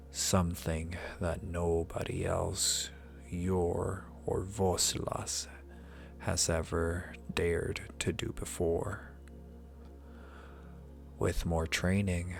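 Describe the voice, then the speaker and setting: Ancient male dragon, performed by a man, ASMR roleplay. Extremely unhurried, even-toned, condescending yet intimate, with formal, archaic phrasing. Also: low voice, vocal fry, full character voice. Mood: musing, impressed. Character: ancient male dragon familiar, powerful, possessive, amused, seductive.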